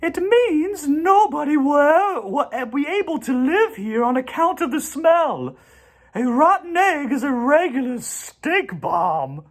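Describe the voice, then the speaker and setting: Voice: high voice, big pitch swings, slow, pitched above the performer's own voice, heavily acted. A performer voicing a refined, clever female spider with precise diction in a storybook reading. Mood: knowing.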